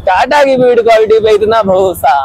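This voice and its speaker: A man's voice: high voice, brisk. A young man riding a motorbike through city traffic and chatting with a friend, poking fun at other drivers. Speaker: motorcycle vlogger. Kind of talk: casual ride commentary. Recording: loud traffic noise outdoors.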